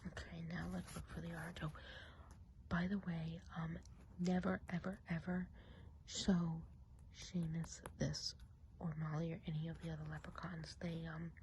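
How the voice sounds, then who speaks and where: A woman whispering softly and breathily in a chatty, confiding ASMR roleplay as a gossipy witch of the woods. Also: sits low, slight background noise, poor audio. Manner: confiding, unhurried, casual gossip. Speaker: ASMR performer.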